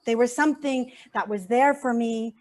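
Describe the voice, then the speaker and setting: A woman's voice: fairly high pitch, normal speed. A woman speaks as an activist at an online event. She is earnest and heartfelt, repeating key phrases for emphasis. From San Francisco Bay Area, USA.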